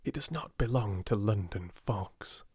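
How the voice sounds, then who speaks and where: A man voicing a brisk, curious young male secretary in a storybook, an English gentleman. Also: on the low side, lively intonation, husky, dry recording, studio quality, full character voice. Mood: pleased, brisk.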